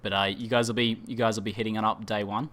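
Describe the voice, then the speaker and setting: A man's voice: fairly low pitch, flat delivery, quick pace, a bit bright. A man hosting a casual gaming podcast, chatting informally and reading out questions from the chat. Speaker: podcast host.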